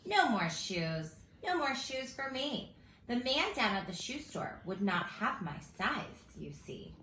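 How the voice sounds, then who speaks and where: Animated woman reading a silly children's storybook. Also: a little high, unhurried. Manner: silly, theatrical, expressive. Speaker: teacher reading story time. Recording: roomy echo with faint background noise.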